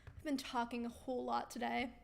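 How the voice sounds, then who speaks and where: Woman host reading chat comments on a live stream, kinda high voice getting hoarse, upbeat, lots of ums. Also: little pitch movement, fairly quick, slight background noise. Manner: chatty and upbeat, with frequent ums and easy laughs. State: losing her voice. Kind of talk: casual livestream chat, reading viewer comments aloud.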